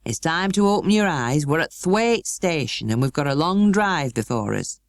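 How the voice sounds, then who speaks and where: A woman's voice: sits low, lively intonation, moderate pace, no echo, clean studio sound. A woman voicing a blunt, stern middle-aged housekeeper who gives orders in a matter-of-fact, scolding way, for a storybook. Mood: brisk.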